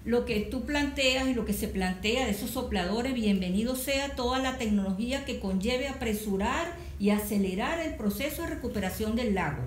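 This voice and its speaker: In a reverberant room with faint noise behind her, a Venezuelan woman takes part in a panel discussion. Her voice is fairly high, and she explains things in an earnest, emphatic way. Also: moderate pace, hardly any pauses. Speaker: panelist on environmental issues.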